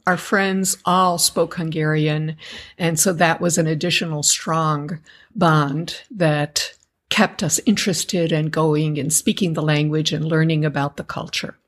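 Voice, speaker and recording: Measured woman guest, lowish voice. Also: steady tone. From United States. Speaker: guest, educators association member. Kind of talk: interview answers.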